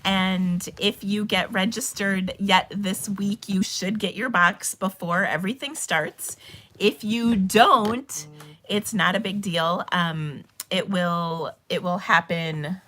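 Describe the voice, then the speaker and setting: A woman hosting a casual live craft stream, chatty and bubbly, her voice a little hoarse because she is losing it. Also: on the low side, unhurried, a bit bright. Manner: chatty and bubbly, with frequent ums and laughs. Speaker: host of a live stamping and cardmaking stream.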